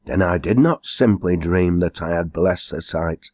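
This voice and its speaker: A man playing a pious old village priest in a storybook tale, speaking in a solemn, deliberate manner. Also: sits low, a little mellow, dry recording, studio quality. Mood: bewildered.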